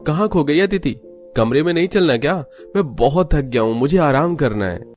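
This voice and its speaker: A man's voice: a little high, expressive, normal speed, darkish tone, soft background music, lightly acted. A man playing a devoted young son in a cartoon story, speaking earnestly and reassuringly. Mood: tired.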